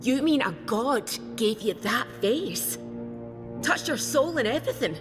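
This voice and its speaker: A woman's voice: on the high side, lively intonation, unhurried. A woman playing a defiant, sardonic smuggler held prisoner in a video game, talking bluntly and scornfully. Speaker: game character. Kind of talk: video game dialogue acting. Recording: orchestral game music behind the voice. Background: loud music.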